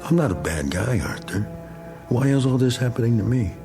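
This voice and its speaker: An actor playing a bitter, bigoted, self-pitying middle-aged American war veteran in a radio drama, with blustering, needling, slangy tough talk. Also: male voice, medium pitch, sing-song, normal speed, vocal fry, over music. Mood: pleading, self-pitying. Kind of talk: dramatic radio play acting.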